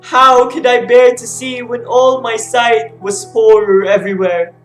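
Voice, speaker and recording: A woman's voice: a little high, level tone, measured pace, echoey room, faint music behind, close to an everyday voice. A woman playing an anguished, self-cursing blinded king in a dramatic reading of Greek tragedy. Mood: despairing.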